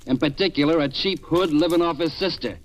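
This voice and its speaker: An actor playing a tough, wisecracking police detective in an old radio crime drama, with a clipped, sardonic delivery. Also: male voice, fairly high pitch, brisk, natural voice. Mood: menacing, sardonic. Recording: old radio recording with music.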